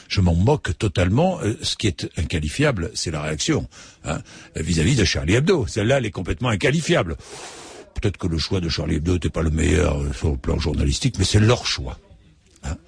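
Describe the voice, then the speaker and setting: Male radio host, husky, animated intonation, emphatic phone-in style. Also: lowish voice, dry recording. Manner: animated, emphatic, frequent "hein" and hesitations. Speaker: radio talk show host. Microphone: studio microphone.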